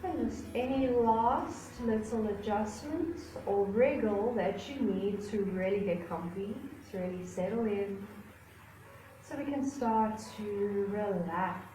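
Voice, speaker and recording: A woman yoga instructor leading a guided relaxation class in a soothing, unhurried manner with gentle pauses. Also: mid-range voice, a little hiss or hum. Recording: roomy echo with faint music behind.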